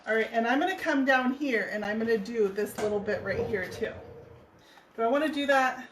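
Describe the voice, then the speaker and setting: A woman in her thirties with a hoarse voice, hosting a live furniture painting tutorial and chatting as she works. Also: a little high, fairly quick. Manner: chatty, laughs easily. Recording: roomy, distant mic, faint noise.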